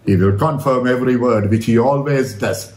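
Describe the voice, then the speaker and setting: A man's voice: medium pitch, moderate pace, darkish tone. A man preaching a sermon in an earnest, exhorting manner, repeating phrases for emphasis. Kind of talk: sermon retelling a Bible story. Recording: roomy, echoing sound.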